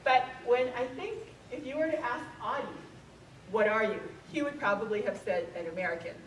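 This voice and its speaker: A woman's voice: fairly high pitch, lively intonation, fairly quick, a little hiss or hum. Roomy far-off woman lecturing with many ums. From Hawaii. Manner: conversational, frequent um fillers, asks audience questions. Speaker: author and speaker. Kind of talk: museum lecture to an audience. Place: museum event room.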